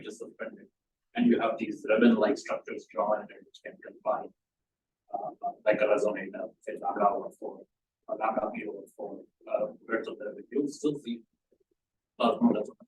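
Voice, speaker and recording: Distant, echoey low-voiced man. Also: moderate pace, a little mellow. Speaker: audience member commenting. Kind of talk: technical discussion. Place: seminar room. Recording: echoey noisy room, far from mic.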